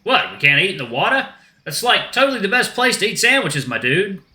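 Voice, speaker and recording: Laid-back storybook shark played by a male reader, surfer slang, upbeat and easygoing, high-pitched with lively swoops. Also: fairly quick, reverberant, full character voice. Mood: chilled, enthusiastic. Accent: put-on Australian. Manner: slangy surfer drawl full of "like" and "dude".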